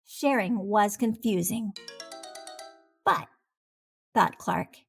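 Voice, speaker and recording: A woman narrating a children's picture book aloud with expressive, deliberate phrasing. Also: medium pitch, creaky, slightly rough, faint music behind.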